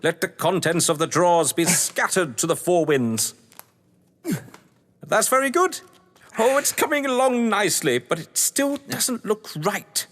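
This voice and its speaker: Older British gentleman villain, played by a male actor: high-pitched, florid, scheming, audio-drama delivery, echoey hall. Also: expressive, a little slow. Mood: gleeful, directing. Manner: florid, pompous, sardonic. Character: theatrical, scheming upper-class male crime novelist and manor host. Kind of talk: stage-play audio drama acting.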